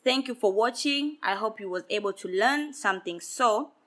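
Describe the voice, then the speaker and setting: A woman, a Swahili speaker, teaching a beginner lesson and repeating each word slowly and with emphasis. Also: on the high side, expressive, background noise. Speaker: language teacher.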